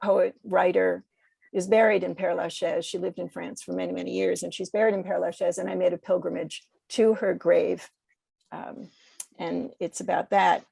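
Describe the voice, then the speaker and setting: A woman's voice: on the low side, level tone, moderate pace, creaky, mellow, slightly rough, no room sound. A woman poet speaking reflectively and a little hesitantly as a podcast guest, talking about literature and reading.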